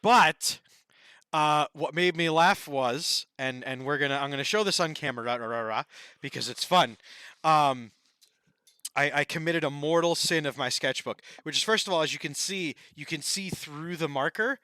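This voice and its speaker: A man's voice: a little high, sharp tone, clear, resonant tone, no room sound. A man co-hosting a gaming podcast, chatting casually in a rambling, playful way. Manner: rambling, playful, quick to laugh. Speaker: podcast co-host.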